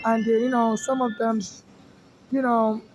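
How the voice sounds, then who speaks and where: Woman, rambling halting monologue, traffic noise behind. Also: fairly high pitch, flat delivery, darkish tone, poor audio. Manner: rambling, halting, many restarts.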